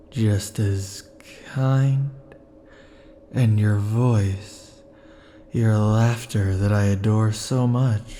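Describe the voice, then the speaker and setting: A man voicing a clingy, possessive immortal male lover in an ASMR roleplay, murmuring softly with sighs. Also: lowish voice, slight background noise. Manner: soft, sighing, lingering murmur.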